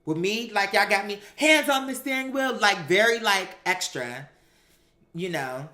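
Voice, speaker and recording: Sassy, bright-voiced woman storyteller in an echoey room. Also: medium pitch, expressive, unhurried. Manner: sassy, emphatic, acts out quoted dialogue; profane, slangy. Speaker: storyteller recounting a personal experience. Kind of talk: animated personal storytelling.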